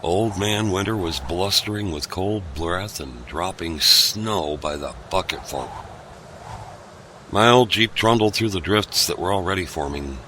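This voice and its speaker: A man narrating and playing a calm, plainspoken delivery driver in an amateur audio drama. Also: low voice, measured pace, slight background noise.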